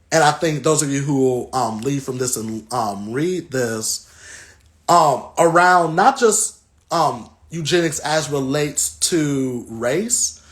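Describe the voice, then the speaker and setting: An American man speaks as a guest reader at a book talk. His delivery is thoughtful and halting, broken by frequent pauses. His pitch sits in a middle range for a man, and the style is reflective. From Southern United States.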